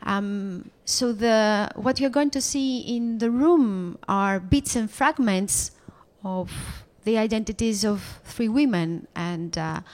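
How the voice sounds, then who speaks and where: A woman introducing an artist at an exhibition in formal, deliberate, halting phrases. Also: mid-range voice, a little mellow. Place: exhibition room.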